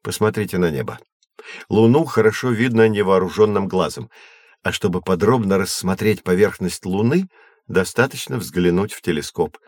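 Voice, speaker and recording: Low-voiced, patient astronomer guide. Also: male voice, expressive, darkish tone, dry recording, studio quality. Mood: explaining. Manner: measured, didactic, clearly enunciated. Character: patient, learned male astronomer guiding children. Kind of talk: scripted educational audio drama.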